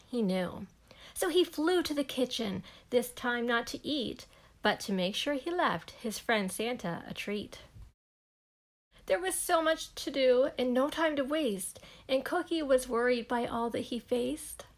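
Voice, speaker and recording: A woman reading a rhyming children's story aloud in an expressive, unhurried, measured way. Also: on the high side. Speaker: storybook reader.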